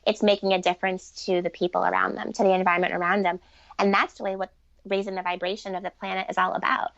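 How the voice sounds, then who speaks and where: A woman's voice: medium pitch, little pitch movement, quick pace, vocal fry, a bit bright, dry recording, dull audio. A woman speaking conversationally as an interview guest on spiritual matters, explaining earnestly and repeating points for emphasis. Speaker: podcast guest, author and relationship expert.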